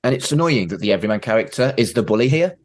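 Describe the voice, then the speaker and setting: A man's voice: medium pitch, animated, very fast. A man, chatting casually as a podcast co-host. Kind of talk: casual chat about a TV show.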